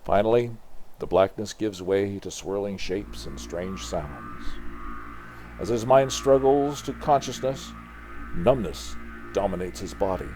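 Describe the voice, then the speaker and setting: A man's voice: on the low side, a little slow, darkish tone, faint music behind, poor audio. An older man from Canada reading a comic book aloud as narrator, in a dramatic scripted storytelling style.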